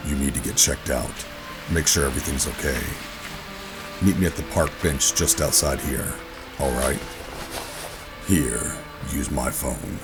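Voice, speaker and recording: A man playing a weary rogue operative, gruff but tender, narrating a sci-fi audio drama in a brooding, deliberate way. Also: a bass voice. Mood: soft, intimate. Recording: music bed under the voice. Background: dramatic music.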